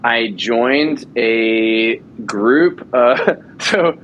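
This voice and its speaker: A man's voice: medium pitch, very slow, a bit bright, muffled recording, slight background noise. A man in his thirties, an actor and producer, telling stories eagerly as an interview guest and using many fillers. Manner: enthusiastic storyteller with frequent fillers. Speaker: actor and audio drama producer. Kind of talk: casual interview chat.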